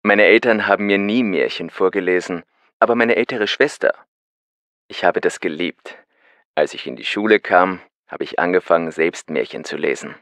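An earnest radio caller, very low voice, thoughtful, dry studio, scripted lesson audio. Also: male voice, normal speed, fairly bright tone, no room sound. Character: man caller who loves books. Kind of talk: scripted dialogue.

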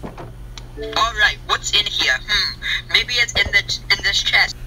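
Guy playing a muttering minecraft sidekick, really sharp voice, faint noise. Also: high-pitched, fairly even intonation, slow, exaggerated voice, rough recording. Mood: puzzled. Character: young male sidekick searching quietly. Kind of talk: amateur Minecraft roleplay movie.

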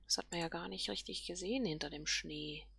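A woman giving casual game commentary, musing softly and half whispering to herself. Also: on the low side, a little fast, vocal fry, breathy edge, no room sound. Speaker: let's player.

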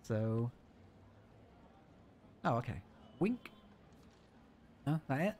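A man's voice: mid-range voice, big pitch swings, very slow, a little mellow, a little hiss or hum. A man streaming a video game, joking and reading the on-screen text aloud as he plays. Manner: playful, jokey, reads game text aloud. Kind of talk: let's-play commentary.

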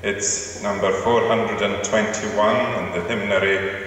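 A man from Scotland, a minister giving a formal welcome and reading scripture in a slow, measured manner in a large stone church. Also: fairly low pitch, level tone, a bit bright, a little hiss or hum. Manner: slow measured liturgical delivery. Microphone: far camera in church. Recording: echoey church from far off.

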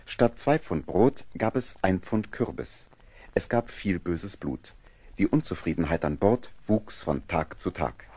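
A man narrating a German radio play from a script, in a husky voice. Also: sits low, animated, unhurried, darkish tone, a little hiss or hum.

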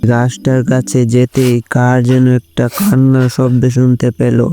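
A man reading scripted narration for an animated horror story. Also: medium pitch, unhurried. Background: loud music.

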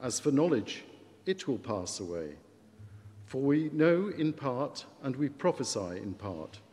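A man giving a church reading in a very slow, deliberate voice whose pitch rises and falls widely. His lines carry a long reverberant tail from the stone hall. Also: very animated, a little mellow. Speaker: reader of a scripture lesson. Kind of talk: formal scripture reading.

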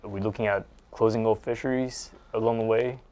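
Male host, 40s, lowish pitch. Casual, agreeable interview chat in an office, repeats words. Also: fairly even intonation, brisk, mellow. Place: small office, indoors.

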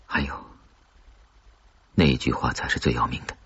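A man reads horror fiction in a deep, dark-toned storyteller's voice. His delivery is brooding and dramatic, with a husky edge. The recording is dull and lo-fi. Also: fairly even intonation, moderate pace. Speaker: audiobook narrator of horror fiction. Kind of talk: scripted suspense narration. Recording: dull, muffled lo-fi audio.

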